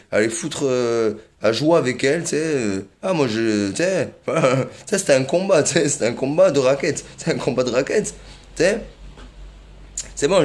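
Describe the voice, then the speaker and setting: Rambling chuckling man. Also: fairly high pitch. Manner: rambling and repetitive, many fillers and chuckles. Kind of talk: rambling monologue.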